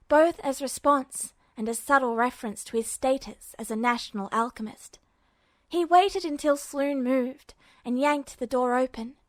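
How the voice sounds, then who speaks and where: A woman reading a fan fiction audiobook with steady, even storytelling. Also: sits high, no echo. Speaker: podfic reader.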